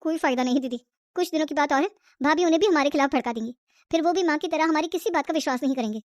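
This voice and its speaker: A woman's voice: high voice, rapid-fire, no echo, clean studio sound, close to an everyday voice. A woman voicing an outspoken young sister who argues and protests defiantly in a cartoon family story. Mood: resentful. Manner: argumentative, protesting.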